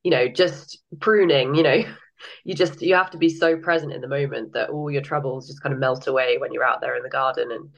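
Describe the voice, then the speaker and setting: A woman's voice: rumbling low, fairly even intonation, brisk, gravelly, dull audio. A woman guest on a podcast, a bit nasal from a cold, talking enthusiastically and laughing often. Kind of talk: casual interview chat.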